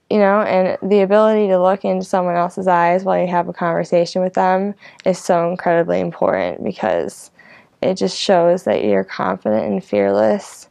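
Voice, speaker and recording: A woman's voice: on the low side, vocal fry, a little mellow, no echo. A woman hosting her own show, thinking aloud in an informal, meandering way full of "like" and "you know". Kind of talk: casual rambling vlog reflection.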